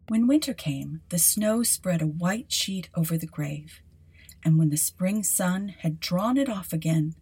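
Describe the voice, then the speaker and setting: A woman's voice: fairly low pitch, creaky, dark tone, slightly rough. A woman podcast host reading a fairy tale aloud as dramatic scripted narration.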